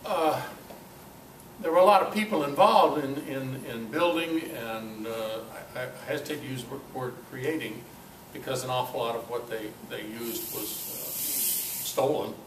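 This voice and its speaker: An older man giving an informal, halting talk to a club, with a self-deprecating, dryly humorous manner. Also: mid-range voice. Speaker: guest speaker at a club meeting. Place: club meeting room, indoors. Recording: roomy, distant mic, noisy amateur recording.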